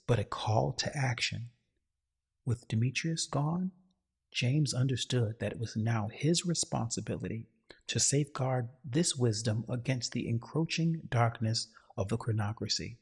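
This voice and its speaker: Male story narrator, heavily husky, medium pitch, solemn and grandiose delivery. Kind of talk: dramatic scripted story narration.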